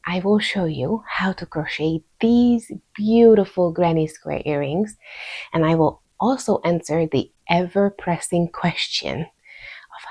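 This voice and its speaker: A woman's voice: lowish voice, darkish tone, slightly rough. A woman with a Hungarian accent narrating a step-by-step craft tutorial in an easygoing, informal way. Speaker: host of a crochet tutorial channel.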